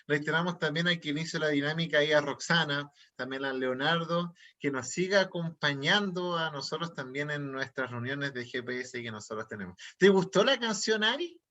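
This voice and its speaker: A man's voice: a little high, expressive, moderate pace, few pauses, pushed and bright. A man co-hosting an online youth meeting, upbeat and filler-heavy, over a video call. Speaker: co-host. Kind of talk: livestream hosting.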